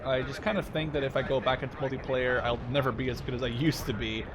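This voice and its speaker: A man's voice: medium pitch, steady tone, fast talker, crowd noise, low-quality recording. A man in his twenties giving casual gameplay commentary, informal and slangy with some swearing. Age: 20s. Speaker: gaming video host. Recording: loud game battle sounds behind voice. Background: artillery, gunfire and vehicles from the game.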